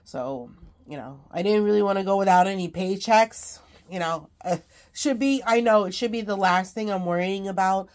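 A woman's voice: medium pitch, moderate pace. A sick, congested woman chatting casually to her phone camera at home, rambling with many ums and small laughs. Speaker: craft vlogger.

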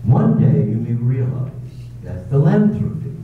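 An amateur actor in a staged radio drama reading, playing a brusque, wealthy older gentleman who talks in a dry, teasing, curt way. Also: mid-range voice, very animated, unhurried, phone-quality sound, slight background noise. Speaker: amateur readers theater actor. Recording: echoey hall, far from mic, phone-thin sound.

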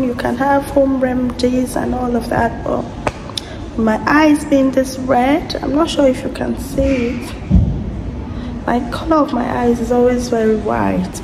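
A woman in her thirties with a hoarse, sore-throated voice, chatting to camera in a casual vlog and explaining each step as she goes. She talks into a phone held at arm's length. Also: fairly high pitch, fairly even intonation, measured pace, noisy background. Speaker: vlogger. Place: hospital waiting area, indoors. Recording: echoey room, loud background ambience.

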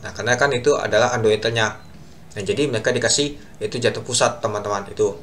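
A man's voice: fairly low pitch, fast talker, slight background noise. Chatty young man walking through stock charts. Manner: chatty, repeats "teman-teman" constantly, talks through charts. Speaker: stock market youtuber. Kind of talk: casual screen-share stock analysis. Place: small room at a computer.

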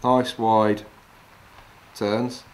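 A middle-aged man from England calmly talking through each step of tying a fishing fly while he works with his hands. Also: lowish voice, drawn-out words. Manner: calm, practical, talks while working with his hands. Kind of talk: step-by-step demonstration. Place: wooden lodge room, indoors.

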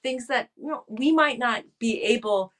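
A woman in her fifties, a political scientist, talking thoughtfully over a video call from a room at home, often pausing to restart her phrases. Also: fairly high pitch, a bit bright. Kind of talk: casual video call interview. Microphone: video call webcam.

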